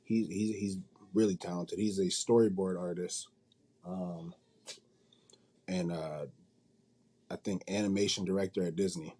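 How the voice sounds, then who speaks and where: A man's voice: low voice, fairly even intonation, measured pace, long pauses, warm tone. A man speaking as an interview guest in an informal, slangy, conversational way. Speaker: manga company CEO, interview guest. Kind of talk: casual interview chat.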